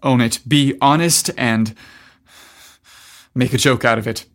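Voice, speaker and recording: A man's voice: medium pitch, a little slow, a bit bright, close to an everyday voice. Guy doing a shy nerdy young man, nervous and hesitant, kinda self-deprecating, from an audio story. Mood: awkward, nervous.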